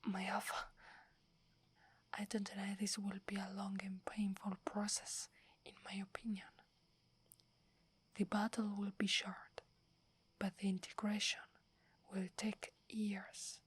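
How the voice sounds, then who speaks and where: A woman's voice: medium pitch, completely flat delivery, measured pace, pauses often, dry recording. A woman with an Italian accent voicing a devoted young female werewolf who defers to her alpha, in a whispered ASMR roleplay. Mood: devoted, gentle. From Italy. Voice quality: breathy whisper. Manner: hesitant, reverent, submissive. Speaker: voice actress.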